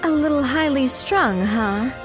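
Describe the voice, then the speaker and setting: A woman playing a poised, friendly young female companion in a video game, explaining things in a measured, thoughtful way. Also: high-pitched, lively intonation. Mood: curious, hesitant. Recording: reverberant, with music behind.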